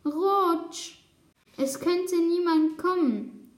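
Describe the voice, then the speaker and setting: In a roomy echo, a woman acts out a grumpy storybook crow with a high voice. The bird is bossy and impatient, nagging in exclamations. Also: drawn-out words, full character voice. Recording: roomy, echoing sound.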